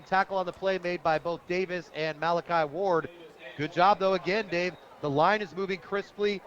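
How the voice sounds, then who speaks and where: An American man calling live football play-by-play on the radio in an animated, colloquial, opinionated way. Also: high-pitched. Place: stadium press booth, radio broadcast. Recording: muffled broadcast audio, outdoor stadium noise.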